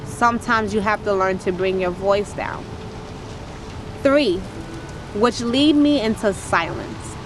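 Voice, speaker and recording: A woman with a naturally strong, powerful voice gives an earnest, uplifting testimony in a repetitive, preachy cadence. Her pitch sits in the middle of the range. She is recorded outdoors by the water, and clear traffic and vehicle noise runs behind her. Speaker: motivational speaker and poet. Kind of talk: inspirational testimony to camera.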